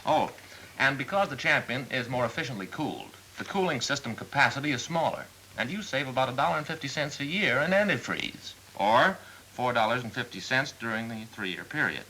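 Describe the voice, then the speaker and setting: An actor playing a smooth, persistent car salesman with polite, persuasive, methodical patter in a mid-century training film. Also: mid-range voice, expressive, a little fast, pushed and bright, rough recording. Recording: old film soundtrack with faint hiss.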